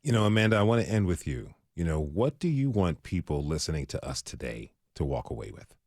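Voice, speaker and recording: A man hosting a public radio talk show, introducing guests and steering the conversation in a measured, welcoming way. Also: a bass voice, big pitch swings, a little mellow, no echo, clean studio sound.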